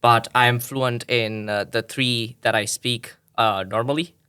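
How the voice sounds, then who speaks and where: A man's voice: mid-range voice, steady tone, a little slow, sharp tone, clear, resonant tone. A man from Tanzania, a podcast guest, explaining at length in a thoughtful way with frequent restarts. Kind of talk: explanatory conversational interview.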